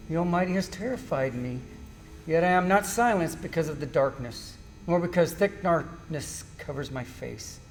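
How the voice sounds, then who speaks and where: Man playing anguished righteous sufferer, solemn, declamatory, bitter, slightly high male voice, amateur scripture drama, light background noise. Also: lightly acted. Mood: anguished, steadfast. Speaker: church class amateur actor. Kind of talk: amateur dramatized Bible reading.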